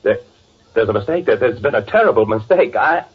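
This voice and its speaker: A man's voice: mid-range voice, lively intonation, a little fast, husky. An actor playing a frantic, guilt-ridden man posing as his dead twin, stammering and pleading in old-time radio drama style. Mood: panicked pleading. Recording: tinny, noisy old radio recording.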